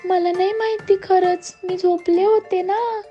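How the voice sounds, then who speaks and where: A woman's voice: extremely high pitch, fairly even intonation, measured pace, muffled recording, soft background music, pitched above the performer's own voice. A woman voicing a sly young female cat in a children's story, whining and feigning innocence.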